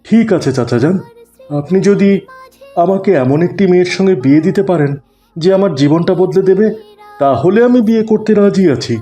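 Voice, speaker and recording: Mellow, sheepish wayward young man acted by a man. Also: high voice, a little fast, soft background music, lightly acted. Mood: doubtful, respectful. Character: sheepish wayward young man, twenty-five, skeptical about marriage. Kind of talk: Islamic cartoon dialogue.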